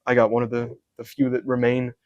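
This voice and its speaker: A young man from Scotland, a hat maker, answering interview questions in a soft-spoken way, explaining his craft in detail with frequent ums. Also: medium pitch, completely flat delivery, a little fast, dry recording, muffled recording. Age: young adult. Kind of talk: casual interview.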